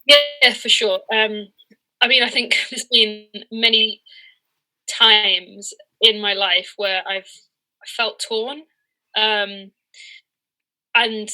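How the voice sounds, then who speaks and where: Bright-voiced woman in her thirties, candid. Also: mid-range voice, rough recording. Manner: candid, hesitant, searching for words. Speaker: interviewed professional cricketer and coach. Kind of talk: reflective conversational interview.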